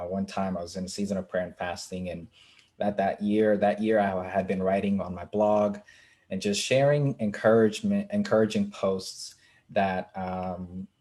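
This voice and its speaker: A young man from Kenya, an author being interviewed, speaking earnestly with frequent pauses. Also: sits low, mellow. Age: young adult. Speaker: author and writing coach, guest. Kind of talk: casual interview talk.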